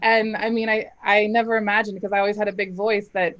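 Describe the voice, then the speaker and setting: A woman's voice: medium pitch, little pitch movement, quick pace, a bit bright. Candid woman singer, slightly dull sound. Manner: candid, laughs often, emphatic. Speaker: opera singer, dramatic soprano. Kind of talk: casual interview talk. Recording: slightly dull live stream audio.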